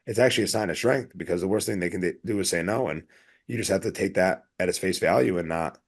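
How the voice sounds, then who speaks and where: A man's voice: low voice, fast talker, slightly rough. A man talking casually as a podcast co-host, rambling earnestly in an anecdotal way.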